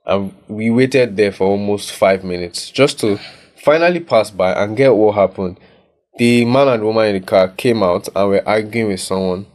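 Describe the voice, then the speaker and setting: Low-voiced Nigerian podcast co-host. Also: male voice, normal speed, darkish tone. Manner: reflective, tags points with "right?". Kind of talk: casual podcast chat. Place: recording studio.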